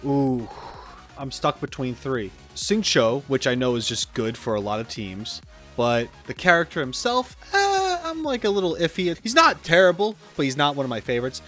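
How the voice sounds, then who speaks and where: A man's voice: medium pitch, very animated, normal speed, a bit bright. A man giving casual gaming reaction commentary as a video host, informal and exclamatory, thinking aloud as he goes. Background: background game music.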